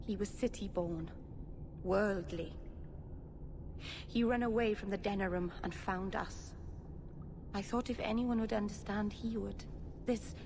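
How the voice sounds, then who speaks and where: A woman's voice: medium pitch, measured pace, long pauses, close to an everyday voice. A woman playing an earnest, stubborn young elf mage in a video game cutscene, speaking with open emotion. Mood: subdued sorrow. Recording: noisy game ambience behind.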